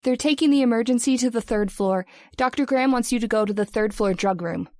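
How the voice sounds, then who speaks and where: A woman's voice: a little high, a little fast, darkish tone, dry recording, studio quality, lightly acted. A woman playing an efficient hospital switchboard operator in a radio drama, businesslike and clipped. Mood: brisk, businesslike. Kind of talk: old-time radio drama acting.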